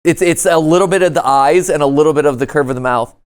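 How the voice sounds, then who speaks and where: A man's voice: fairly high pitch, quick pace, clear, resonant tone. A man hosting a casual reaction show, commenting in a playful, joking manner. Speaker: host.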